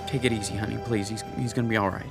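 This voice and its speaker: Anguished American dad, radio drama, gravelly voice, halting and pleading, music underneath. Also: male voice, fairly low pitch, little pitch movement. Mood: soothing, strained. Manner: halting, pleading, repeats words. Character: anguished father at his young son's hospital bedside.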